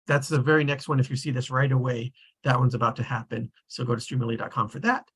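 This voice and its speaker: Online table read host, husky voice, mid pitch, informal and conversational delivery. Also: steady tone, fast talker, fairly bright tone.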